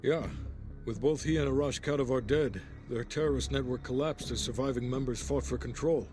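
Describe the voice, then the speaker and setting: A man plays a composed intelligence officer with a medium-pitched voice. He reports in a sober, measured way, as in a scripted game briefing, with clear music underneath. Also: natural voice. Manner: measured, matter-of-fact. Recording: cinematic music behind.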